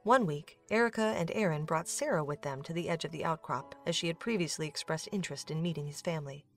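A woman's voice: low voice, fast, soft background music. A woman reading scripted narration for an audio drama, as a storyteller.

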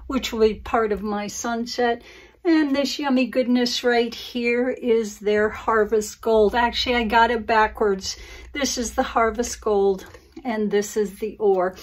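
A woman's voice: fairly high pitch, far from the mic. A middle-aged woman hosting a casual acrylic pour painting video, chatting enthusiastically to viewers about her colors at her studio table. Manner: gushing and enthusiastic, calls viewers guys. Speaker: acrylic pour artist and channel host. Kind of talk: casual craft tutorial chat to viewers.

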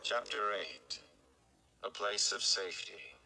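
A man's voice: fairly low pitch, expressive, slow pace, gravelly, bright, forceful tone. A man, around his thirties, giving a dramatic reading of a fantasy novel. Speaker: audiobook-style reader. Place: indoor room. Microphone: phone at arm's length.

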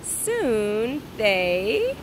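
A woman's voice: a little high, huge pitch swings, very slow. A woman reading a children's story aloud expressively, like a teacher, recorded outdoors. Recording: clear outdoor ambient noise.

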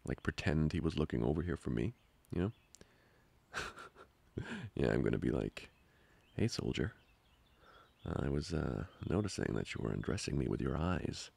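A man playing a jealous, protective young boyfriend in an improvised ASMR roleplay, grumbling with halting, sarcastic asides. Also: expressive, a little mellow, full character voice. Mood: playful, joking. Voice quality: very deep, gravelly, husky.

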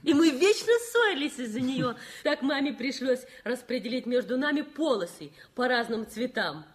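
An actress playing an earnest young nurse in a 1960s radio play, reassuring in a warm, gently insistent way. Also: female voice, high voice, lively intonation, moderate pace. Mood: tender. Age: young adult.

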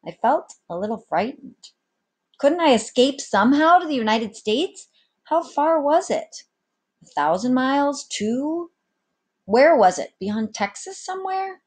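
A woman's voice: a little high, lively intonation, measured pace, a little mellow, slightly rough, voice pushed up. A woman voicing an anxious, bewildered young cabin boy in a storybook read-aloud, plain and uncertain. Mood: worried.

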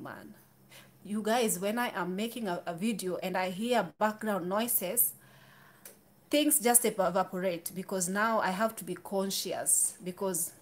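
A woman in her thirties talking candidly to camera in a small room, chatty, with restarts and asides. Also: mid-range voice. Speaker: YouTuber. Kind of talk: casual talk to camera.

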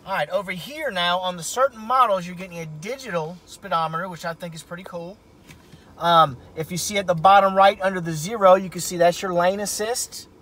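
A man's voice: high voice, fairly quick, fairly bright tone. A middle-aged man giving a casual, folksy walkaround from inside a pickup truck cab. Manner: folksy, informal. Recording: camera audio with cabin noise.

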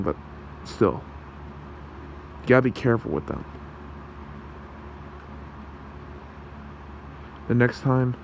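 A man voicing a gentle, protective fox creature in an ASMR roleplay, with a soothing, halting, gravelly delivery. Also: medium pitch, a little hiss or hum, close to an everyday voice, rough recording. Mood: cautioning. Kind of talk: ASMR roleplay, soft comforting talk.

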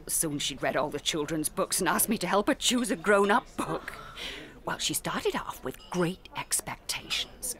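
Casting: doting British librarian for audiobook drama, lowish pitch, very expressive, gentle storyteller. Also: female voice. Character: kindly, doting older female village librarian. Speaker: librarian narrating memories.

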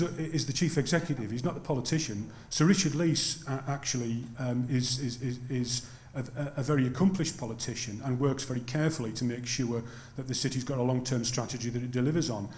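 Older male panelist, stammering restarts, mid pitch, roomy hall sound. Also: a little mellow. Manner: halting, many restarts, then earnest. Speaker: panel speaker. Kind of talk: lecture Q&A answer. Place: lecture theatre. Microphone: handheld mic. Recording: echoey lecture hall.

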